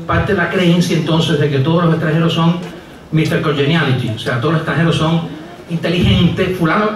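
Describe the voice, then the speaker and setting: Wry Cuban man on an echoey outdoor stage. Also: a little high. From Cuba. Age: middle-aged. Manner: wry, deadpan, digressive, with many hesitations. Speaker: comedian and writer. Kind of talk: stand-up monologue. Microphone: stage mic on a stand. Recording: echoey live outdoor sound from far off. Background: audience laughing.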